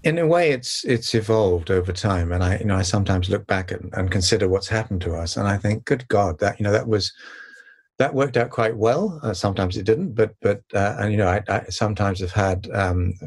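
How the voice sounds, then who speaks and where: An older man with a calm voice, answering interview questions in a measured, reflective way with many pauses and restarts. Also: on the low side. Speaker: sustainability consultant and author, guest.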